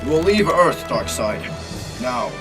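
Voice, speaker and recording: A man playing a stern, righteous, mighty superhero with a forceful, commanding manner in a comic book fan dub. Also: fairly high pitch, sing-song, measured pace, recorded from a distance. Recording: clear music behind.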